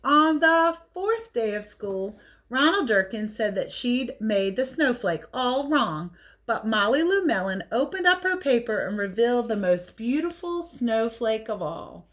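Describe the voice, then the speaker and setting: A woman's voice: a little high, very animated, measured pace, fairly bright tone. A woman teacher reading a picture book aloud to children, telling it expressively and adding playful, chatty asides about the pictures. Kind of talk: animated read-aloud storytelling with casual asides.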